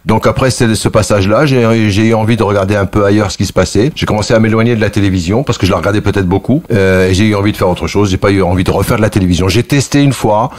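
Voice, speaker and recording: A man in his sixties from Algeria, with Kabyle as his first language, giving thoughtful interview answers as a former journalist, often pausing and restarting. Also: lowish voice, a little mellow. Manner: thoughtful, frequent restarts and hesitations.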